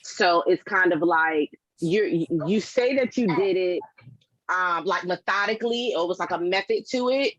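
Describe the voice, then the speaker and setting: A woman with a fairly low voice gives casual, reflective feedback, piecing her thoughts together as she speaks. The sound is very dry and a little dull, like limited-bandwidth audio. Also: moderate pace, a bit bright, no echo.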